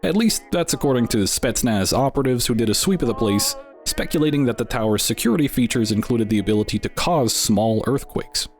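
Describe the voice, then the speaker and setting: A man's voice: medium pitch, lively intonation, fairly quick, hardly any pauses. Dry, wry male show host. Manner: dry, tongue-in-cheek. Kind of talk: scripted narration, wry commentary. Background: background music.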